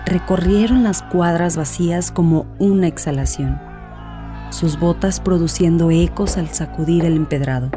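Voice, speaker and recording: A woman's voice: on the low side, moderate pace. A woman reading dramatic scripted audiobook narration. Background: background music.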